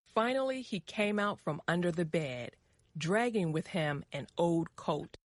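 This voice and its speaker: A woman narrating a short story for English learners with deliberate, careful phrasing. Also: fairly low pitch, animated, no room sound, studio quality.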